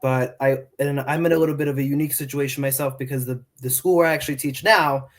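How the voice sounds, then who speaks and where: A man's voice: medium pitch, steady tone, quick pace. A man in his twenties who grew up in New York with Portuguese as his first language, a middle school Spanish teacher answering interview questions earnestly with many fillers and restarts. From New York, United States.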